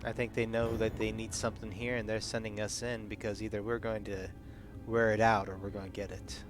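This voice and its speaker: A man's voice: fairly low pitch, flat delivery, fairly quick, over music, natural voice. A man voicing a dutiful, plain-spoken Marine in a tabletop game, frank and steadying. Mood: suspicious, businesslike.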